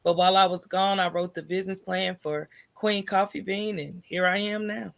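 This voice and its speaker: A woman's voice: mid-range voice, fairly even intonation, normal speed, gravelly, no room sound. A middle-aged woman telling her own story as a podcast guest, candid and informal. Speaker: podcast guest, small business owner. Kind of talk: conversational personal storytelling.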